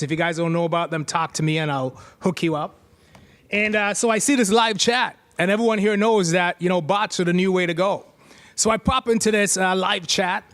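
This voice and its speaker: A man's voice: sits high, a bit bright. A middle-aged man giving a playful, chatty live tech demo on stage, joking with the crowd into a handheld mic.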